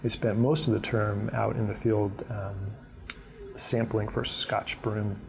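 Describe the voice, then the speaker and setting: Creaky, low-voiced man explaining. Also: little pitch movement, slow pace. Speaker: college instructor. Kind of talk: informal interview explanation.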